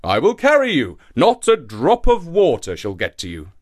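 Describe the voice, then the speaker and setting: A man's voice: on the high side, huge pitch swings, normal speed. A man voicing a friendly but scheming, rather gullible shark in an animal folktale, coaxing and emphatic.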